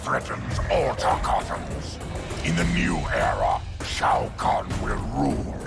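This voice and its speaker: A proud, distrustful monster warlord in a cinematic game. The voice is deep-set and thick with growling gravel, delivered slowly with huge swings in pitch. Loud dramatic music runs underneath. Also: male voice, wildly swooping pitch, full character voice. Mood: defiant anger. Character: fierce, proud, distrustful male mutant warrior chieftain. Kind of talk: cinematic video game acting.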